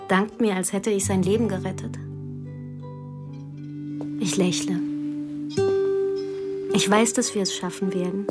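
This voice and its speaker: A woman's voice: medium pitch, steady tone, a little slow, long pauses, over loud music, natural voice. Actress as troubled woman reading her intimate diary. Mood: numb, intimate. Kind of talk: radio drama monologue and dialogue.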